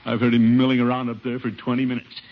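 An actor playing a pompous, put-upon middle-aged uncle in a 1950s radio sitcom, blustery and exasperated. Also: male voice, medium pitch, monotone, fast, close to an everyday voice. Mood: grumbling. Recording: muffled, very noisy old radio recording.

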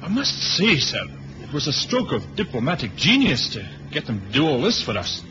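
A dull old radio recording with faint hiss carries a sharp, animated male voice. It is a nervous junior aide. He is formal, hesitant and eager to defer. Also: mid-range voice, normal speed. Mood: eager, respectful. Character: anxious, deferential young male aide to a spy chief. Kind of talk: 1970s radio drama acting.

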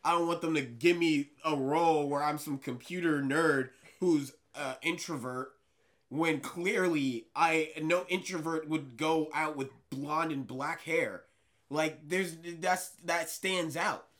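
A young man, an actor, chatting casually with a light voice and animated, excitable bursts of pitch and loudness. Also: takes it slowly. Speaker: actor guest. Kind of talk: casual chat.

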